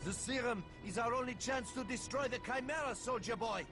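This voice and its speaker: A man's voice: extremely high pitch, brisk. An actor playing a stubborn, urgent old male scientist in a video game cutscene. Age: older. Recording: game audio under loud music.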